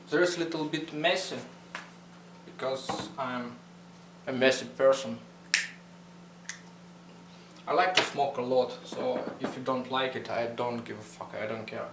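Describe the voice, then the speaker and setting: A young man with a thick Russian accent rambles through a casual vlog, cursing freely and stumbling over his English. His voice sits at a middling pitch. The recording is roomy and a little distant, with a faint hum underneath. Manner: blunt, swears a lot, halting non-native English. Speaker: vlogger. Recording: echoey room, mic at a distance, faint hum.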